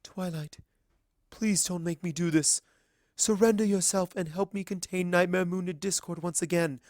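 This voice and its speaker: A performer voicing a gentle but resolute pony princess in a measured, formal, regretful manner for a story reading. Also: medium pitch, steady tone, dry recording, clean studio sound, pitched above the performer's own voice, close to an everyday voice. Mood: pleading, regretful. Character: regal, sorrowful adult mare princess, gentle but resolute.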